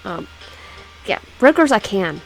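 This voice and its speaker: A woman's voice: mid-range voice, very animated, normal speed, gravelly, rough recording. A woman casually reading a visual novel game aloud with personal asides, often stumbling over words and repeating phrases. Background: background music.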